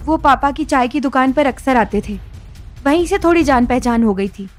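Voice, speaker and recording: Young wife played by a woman, high voice, defensive and evasive, bitterness underneath, audio drama with music behind. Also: animated, moderate pace. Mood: guarded, evasive. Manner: guarded, defensive.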